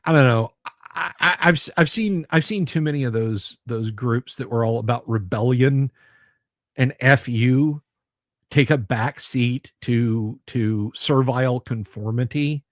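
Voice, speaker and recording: A man's voice: mid-range voice, a little slow, a bit bright, dry recording, clean studio sound. A man hosting a casual livestream, rambling, repeating his words and making sarcastic asides while reading viewer comments. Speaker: livestream host.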